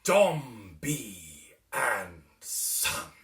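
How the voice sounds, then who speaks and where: A man voicing a stern, pompous middle-aged businessman and proud father in a dramatic reading of a Victorian novel, speaking slowly and grandly. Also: medium pitch, very animated, fairly bright tone, roomy sound, recorded from a distance, full character voice. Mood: grand satisfaction. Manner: pompous, grandiose, slow and declamatory.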